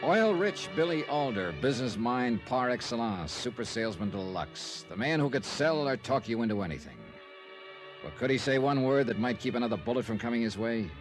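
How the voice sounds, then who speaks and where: An actor playing a wry, hard-boiled insurance investigator in a 1950s radio drama, with punchy, clipped delivery. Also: male voice, medium pitch, animated, fairly quick, natural voice, low-quality recording. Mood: wry narrating. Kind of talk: 1950s radio detective acting and narration. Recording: old radio recording with music.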